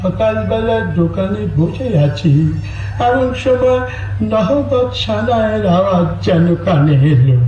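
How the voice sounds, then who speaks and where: Man as a dozy old cobbler, grumbling, lively high voice, dull hall recording. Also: takes it slowly, a little hiss or hum. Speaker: actor in a stage dance drama.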